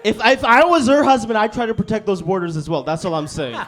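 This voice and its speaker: A man's voice: high voice, fast talker, a faint crowd. Laid-back young male stand-up comic. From Bangladesh. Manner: laid-back, deadpan, frequent "you know what I mean". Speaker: stand-up comedian. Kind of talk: stand-up set. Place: comedy club stage.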